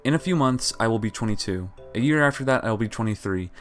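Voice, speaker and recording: A man's voice: medium pitch, expressive, fast talker, soft background music. A young man in his early twenties giving an earnest, pleading motivational talk to his viewers. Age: young adult. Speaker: YouTuber. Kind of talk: motivational talk over gameplay.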